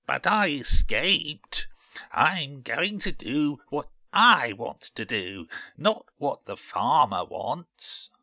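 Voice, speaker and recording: A man's voice: mid-range voice, very animated, slow pace, sharp tone, dry recording, voice pushed up, full character voice. A man voicing a cocky, naive little male seed in a children's storybook, boastful and eager. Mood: proud.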